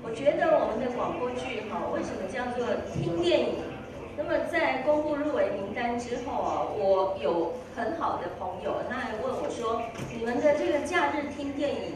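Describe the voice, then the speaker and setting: A woman's voice: a little high, animated, normal speed, a crowd in the background. Woman speaking in a very echoey hall. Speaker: radio station award winner. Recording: echoey hall, distant mic, background noise.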